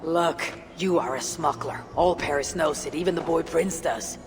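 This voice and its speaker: Low-voiced, determined Norse warrior woman. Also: normal speed, over music. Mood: firm. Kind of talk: video game cutscene acting.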